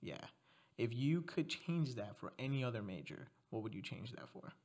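A man's voice: medium pitch, big pitch swings, moderate pace, a little mellow. A man from Mexico hosting a podcast interview, talking in a loose, rambling way, correcting himself and laughing often. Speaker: podcast host. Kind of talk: casual interview chat.

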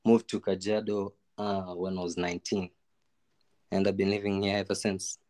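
A man with a Kenyan accent speaks as a guest in casual chat. His voice is low, and the sound is very dry. He is informal and hesitates as he looks for words. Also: flat delivery, normal speed, darkish tone, no room sound. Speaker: podcast guest.

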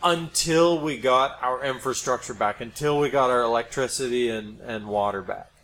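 A man's voice: medium pitch, normal speed, a bit bright. A middle-aged American man co-hosting a podcast in casual, speculative conversation. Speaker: podcast co-host. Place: home studio. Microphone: podcast microphone. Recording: clearly noisy amateur podcast recording.

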